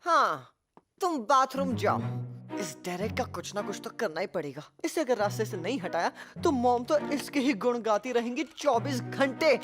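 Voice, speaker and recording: A woman voicing a greedy, scheming, impatient young boy in an animated children's cartoon dub. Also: fairly high pitch, normal speed, music in the background. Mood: sulky, scheming.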